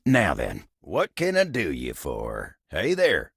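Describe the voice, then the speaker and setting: An actor playing a lonesome, bashful cowboy table in a video game, with a gravelly Western American drawl and a gruff but kind, folksy, halting manner. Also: male voice, sits low, wildly swooping pitch, a bit bright, no room sound, studio quality, full character voice. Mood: friendly, modest. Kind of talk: video game character dialogue.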